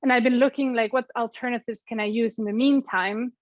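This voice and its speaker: Swedish lady guest on a call, kinda high voice, dry muffled sound, lots of ums. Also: fairly even intonation, brisk, dry recording. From Sweden. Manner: thoughtful, lots of ums and likes. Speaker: guest, app co-founder. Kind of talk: interview over a call.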